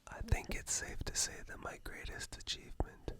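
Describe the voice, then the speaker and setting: A man doing slow, intimate ASMR, soothing the listener in a breathy, husky, mostly whispered voice with lingering pauses between short phrases. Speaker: ASMR performer giving personal attention. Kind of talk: slow ASMR roleplay, relaxation guidance.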